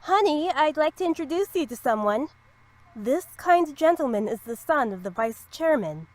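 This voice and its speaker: A woman plays a doting middle-aged mother in a visual novel. Her voice is high and swoops with pride, gushing affectionately at every turn. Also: big pitch swings, moderate pace, poor audio. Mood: doting, proud. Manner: effusive, affectionate. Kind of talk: visual novel character reading.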